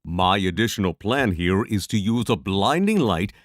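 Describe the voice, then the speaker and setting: A lowish male voice, dry and close, for a wry young mage, played by a man. He is cautious and measured, a wordy spellcaster in a fantasy audio drama. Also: expressive, no echo, natural voice.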